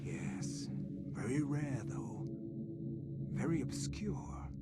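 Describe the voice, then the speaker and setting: A man voicing a scholarly psychiatrist who speaks and narrates in a calm, measured way. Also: medium pitch, loud music behind the voice.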